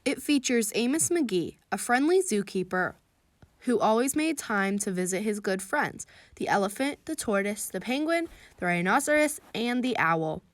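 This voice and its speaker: A woman reading a children's picture book aloud, expressive and warm, with a bright lift when she greets the listeners. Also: mid-range voice, moderate pace. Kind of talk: storybook read-aloud for kids.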